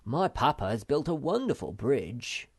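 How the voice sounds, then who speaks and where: A man's voice: mid-range voice, very animated, a little slow, slightly rough, no room sound, studio quality, voice pushed up, heavily acted. A man voicing an earnest young male troll in a storybook reading. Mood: chatty.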